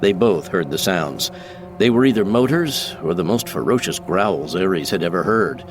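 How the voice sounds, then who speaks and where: A man's voice: low-pitched, animated, moderate pace, slight background noise. A man giving scripted, dramatic narration of science fiction as an audiobook narrator.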